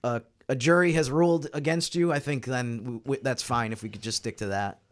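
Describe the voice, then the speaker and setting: A man's voice: medium pitch, fairly even intonation, a little fast. An American man on a sports podcast panel, explaining things casually with hedged asides. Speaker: podcast panelist covering basketball. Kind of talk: casual sports roundtable talk.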